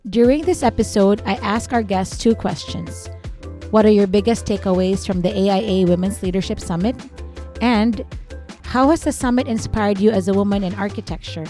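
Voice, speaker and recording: A woman hosting a podcast, reading a scripted intro and outro and asking interview questions in a measured, read-aloud way. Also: mid-range voice, mellow, faint music behind. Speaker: podcast host.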